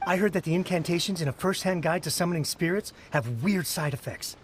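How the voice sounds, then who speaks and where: A man's voice: on the high side, brisk, slightly rough. Eager gossiping townsman. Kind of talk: video game voice acting.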